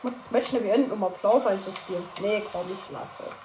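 A woman's voice: mid-range voice, fairly quick, dark tone. Young girl narrating her match, stumbles over words, noisy echoey recording. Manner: chatty, playful, trips over words. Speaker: gameplay commentator. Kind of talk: casual gameplay commentary. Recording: echoey room with noise.

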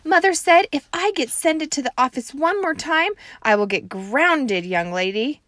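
A loud, squealing little girl of about six, full of drama, as a woman reading a storybook puts her on. The voice sits far above the reader's own and swoops about wildly. The sound is close and dry. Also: high-pitched, animated, a little slow, no echo, pitched above the performer's own voice. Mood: pleading.

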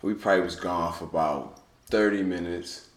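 A young man as a guest in casual chat, telling stories in a relaxed, slangy way. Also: low-pitched, normal speed. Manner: relaxed slangy storytelling, many "you feel what I'm saying". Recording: echoey room with faint noise.

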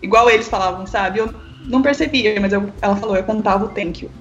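A woman's voice: medium pitch, quick pace, echoey room. A woman from Brazil co-hosting a casual podcast, telling light anecdotes with laughter. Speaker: podcast co-host. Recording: dull lo-fi sound, faint music.

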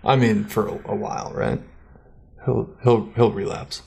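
A man's voice: fairly low pitch, flat delivery, moderate pace, gravelly, a little mellow. A young man talking casually as a podcast guest, rambling and swearing easily. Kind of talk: casual chat.

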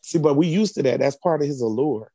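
A man's voice: fairly high pitch, expressive, fairly quick, warm tone, slightly rough, dry recording. A man co-hosting a casual chat show, teasing and swearing freely. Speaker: regular co-host. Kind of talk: casual banter.

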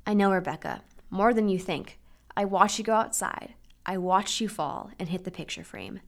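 A woman voicing a gentle, loving father in a storybook, speaking patiently and reassuringly. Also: medium pitch, expressive, moderate pace, vocal fry. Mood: tender, knowing. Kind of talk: storybook character reading.

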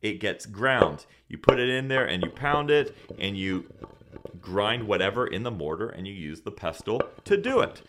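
Male podcast preacher, grew up in Senegal, lowish voice, earnest, quotes scripture and asks rhetorical questions. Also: big pitch swings, moderate pace, very noisy. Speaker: podcast Bible teacher. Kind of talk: preaching Bible study podcast.

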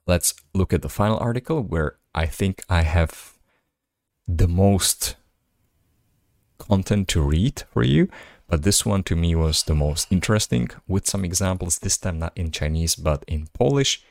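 A man's voice: low-pitched, lively intonation, a little slow, gravelly, darkish tone, dry recording, clean studio sound. A man whose first language is Slovak, hosting a solo podcast where he reads articles aloud and adds casual, musing asides. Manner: casual, musing asides between read passages. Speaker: podcast host. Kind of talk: reading articles aloud with commentary.

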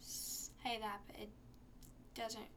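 Slow, stuttering teenage girl. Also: a little high, flat delivery, noisy background. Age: teens. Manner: soft-spoken, stutters with repetitions, unhurried. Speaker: guest. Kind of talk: podcast conversation.

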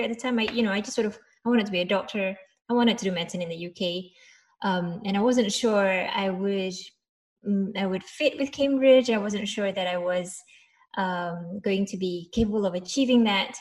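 A woman's voice: mid-range voice, darkish tone, rough recording. A woman in her forties from Malaysia, talking reflectively as an interview guest and often repeating words as she gathers her thoughts. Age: 40s. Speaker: podcast guest, doctor and cancer researcher.

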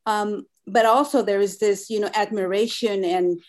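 A woman's voice: medium pitch, fairly even intonation, moderate pace. Mexican-accented woman in her fifties. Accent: Mexican Spanish. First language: Spanish. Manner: thoughtful, many fillers and restarts. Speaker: artist guest. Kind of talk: conversational interview.

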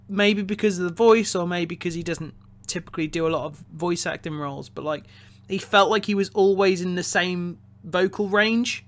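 A man co-hosting a film review podcast, talking casually and offering measured, tentative opinions. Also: high-pitched, a bit bright.

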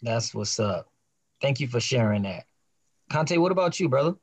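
Man, podcast host, casual chat, encouraging, lots of fillers, middle pitch, dry sound. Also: lively intonation, normal speed, breathy edge, no room sound.

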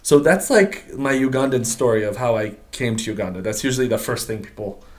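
A middle-aged man from Portugal, a guest on an interview podcast, telling his story in a relaxed, slangy way with many fillers and restarts. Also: mid-range voice, fairly quick, a crowd in the background. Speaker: guest, business owner. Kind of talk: casual interview chat.

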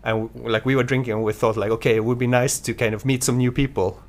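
A man chatting casually and telling a personal story in a halting, rambling way. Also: mid-range voice, steady tone. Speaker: conversation participant telling a story.

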